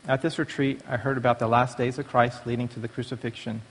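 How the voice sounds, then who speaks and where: A man's voice: mid-range voice, level tone, fast talker. Man speaking in a reverberant hall. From born in Taiwan, raised in the United States from age five. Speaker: speaker giving a testimony at a church recovery meeting. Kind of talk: prepared personal testimony. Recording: long reverberant hall echo.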